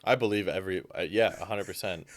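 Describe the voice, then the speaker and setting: A man's voice: sits low, fast, dry recording. A young man, a fitness coach guest, chatting casually and animatedly, with frequent fillers. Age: young adult. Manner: animated, fills speech with "like". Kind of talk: casual chat.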